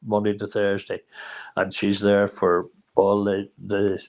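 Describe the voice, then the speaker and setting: A man's voice: lowish voice, monotone, slow pace, a little mellow. A man in his seventies with a Northern Irish accent, chatting casually as an interview guest in a plain-spoken way, often repeating his phrases. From Ireland. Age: 70s. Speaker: interview guest, charity founder.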